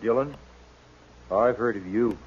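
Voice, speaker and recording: An actor playing a sick, bitter homesteader father in a 1950s radio western, weak and halting, with a rural American accent. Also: mid-range voice, breathy edge. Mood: weary, resigned. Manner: halting, folksy. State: weak and in pain. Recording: muffled, faintly noisy vintage radio recording.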